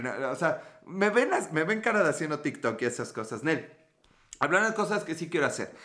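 A man's voice: a little high, pushed and bright. A man in his thirties with a Mexican accent, chatting casually and joking with viewers as a podcast host. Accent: Mexican Spanish. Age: 30s. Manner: joking, profane, playful. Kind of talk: casual live-stream chat reading comments.

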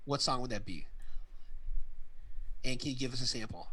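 A man's voice: mid-range voice, animated, normal speed, heavy background noise. A man casually interviewing a friend about wrestling, talking loosely with lots of fillers. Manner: loose and filler-heavy, lots of "like" and "you know what I'm saying".

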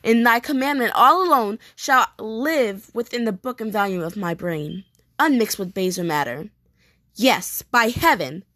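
A woman playing an anguished, vengeful young prince in a declamatory Shakespearean stage monologue with dramatic pauses. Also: on the high side, lively intonation, bright, forceful tone, no echo. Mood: solemn vow. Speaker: performer rehearsing a soliloquy. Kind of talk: theatrical Shakespearean monologue, repeated takes.

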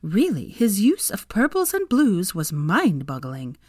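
A woman's voice: medium pitch, huge pitch swings, unhurried, a little mellow, dry recording, studio quality. A woman reading a sly, teasing grown woman. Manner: smirking, mock-polite.

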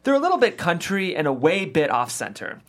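A narrator with a very animated, mid-pitched delivery reads stage directions from a comedy script. The pitch swings freely through the scripted descriptions. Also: a little fast.

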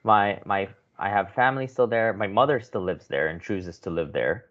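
Low-voiced man from the Philippines. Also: moderate pace, a bit bright. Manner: conversational, frequent restarts and "right?" checks. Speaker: guest. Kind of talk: podcast interview. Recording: muffled lo-fi audio.

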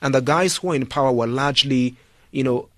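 An emphatic man talks as a guest on a radio call-in show. He drives his points home with repetition and pointed rhetorical questions. His voice sits at a middle pitch, heard close and dry. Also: fairly quick, no room sound. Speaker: studio guest, political commentator. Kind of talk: radio talk show interview.

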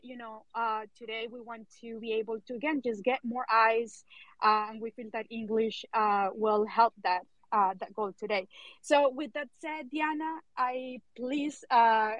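A woman's voice: on the high side, a bit bright, dry recording. A woman hosting an online audio chat, speaking hesitantly with many fillers. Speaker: host. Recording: dry dull lo-fi audio.

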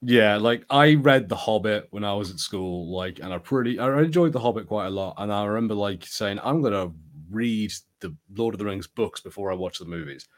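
Low-voiced man in casual podcast chat. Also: a little fast, hardly any pauses. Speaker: podcast co-host. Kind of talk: casual chat about fantasy film and TV.

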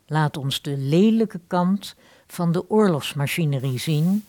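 Slow, lowish-voiced woman narrator. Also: lively intonation, darkish tone. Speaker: host of a radio play series. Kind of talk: scripted introductory narration.

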